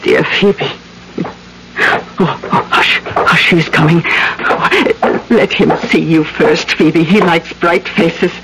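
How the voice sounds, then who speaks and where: A woman's voice: low voice, heavy background noise. An actress playing an old, scowling spinster in a radio drama, fretful, hesitant and tenderly protective of her brother. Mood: anxious, tender. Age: older.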